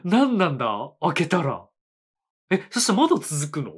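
Man, 30s, husky voice, lively swooping pitch, casual reaction chatter, faint music behind. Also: moderate pace. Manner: musing aloud, lots of short reactions and laughs. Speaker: anime reaction channel host. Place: small indoor studio with a sofa.

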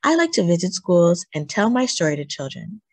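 Woman reading aloud, with lively, swooping intonation. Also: fairly low pitch, brisk, vocal fry, dry recording. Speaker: children's book reader. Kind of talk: read-aloud storytelling for children.

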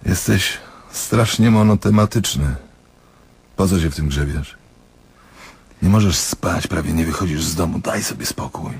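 A man's voice: low voice, a little slow, long pauses, husky, full character voice. An actor in a radio play voicing a cool, knowing male doctor, wry and dismissive in a matter-of-fact way.

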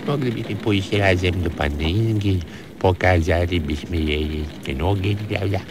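A man's voice: sits low, measured pace. An actor playing a petulant, amorous old grandfather who rambles and whines in a comic radio play. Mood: petulant, plaintive. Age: older. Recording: radio play with clear background noise.

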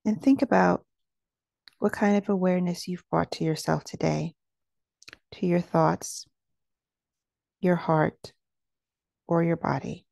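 A woman with a soft-edged, mellow voice sits slightly low in pitch, with a touch of creak. She guides a meditation in measured, soothing phrases, recorded very dry and close. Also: steady tone, no room sound. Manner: slow, soothing, measured instruction.

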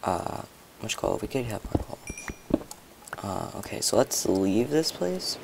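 A young man with a hoarse voice, chatting and rambling to viewers as he comments on his own gameplay. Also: fairly low pitch, very animated, a little slow, background noise. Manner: chatty, rambling, talks to viewers while playing.

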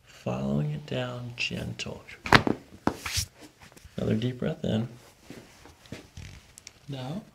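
A middle-aged man speaking as a chiropractor, calmly and gently guiding a patient step by step in a reassuring, gravelly way. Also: medium pitch, slow, pauses often, background noise. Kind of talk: calm step-by-step instruction to a patient during treatment. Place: small office treatment room, indoors. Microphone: microphone on boom arm near the table.

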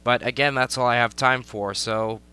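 Young male tutorial narrator, getting over a cold, bright and crisp, plainly instructional. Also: mid-range voice, fairly even intonation, normal speed. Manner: step-by-step instructional, repeats "you're gonna wanna". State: recovering from a cold. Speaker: tutorial creator.

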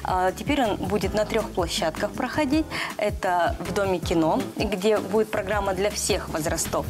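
Woman guest on tv interview, medium pitch, lots of halting pauses, music playing behind. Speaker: guest, animation festival organizer. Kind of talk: studio interview, explanatory. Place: TV studio, seated. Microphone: lapel mic.

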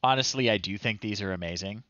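A man in his thirties hosting a casual livestream, talking in an unhurried, amused, halting way. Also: lowish voice, fairly bright tone, dry recording, lo-fi sound. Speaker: livestream host. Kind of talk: casual livestream commentary, reading children's stories aloud. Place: small home room, indoors.

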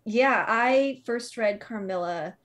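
A woman's voice: a little high, slow pace, fairly bright tone. A young adult woman, an actress, chatting in a gushing, enthusiastic way in an interview over a video call. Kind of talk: casual interview chat. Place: indoors on a group video call.

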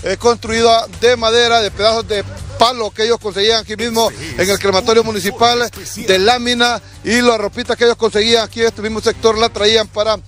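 A man's voice: extremely high pitch, flat delivery, fairly quick, a bit bright, faint music behind. A man with a Honduran Spanish accent giving a live on-scene news report outdoors, urgent and restless with many fillers. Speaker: field reporter. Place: outdoors at a burned house.

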